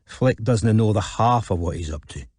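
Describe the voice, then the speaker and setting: A man's voice: fairly low pitch, animated, moderate pace, a little mellow, husky, no room sound. A man voicing a blunt, streetwise Scottish investigator with a coarse, slangy manner in an audiobook. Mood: scornful, gossipy. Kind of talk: audiobook character dialogue.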